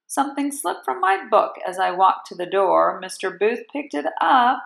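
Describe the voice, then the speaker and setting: A woman reading a children's storybook aloud as an expressive narrator, like a kindergarten teacher at story time. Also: mid-range voice, normal speed. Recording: roomy sound under clear music.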